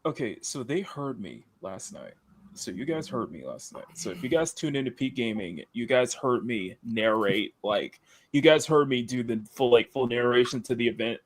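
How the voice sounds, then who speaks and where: A deep-voiced man with a Southern accent chats casually as a live-show host. He rambles, restarts his sentences and laughs often, in a dry, close recording. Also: normal speed, no room sound. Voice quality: deep, radio-style tone. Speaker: livestream host.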